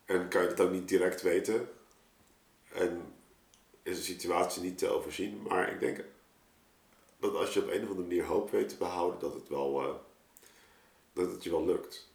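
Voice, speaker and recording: Low-pitched man chatting in an echoey room. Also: fairly even intonation, moderate pace, pauses often, a little mellow. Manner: informal, slangy, meandering. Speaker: artist, podcast guest. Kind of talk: casual reflective group conversation.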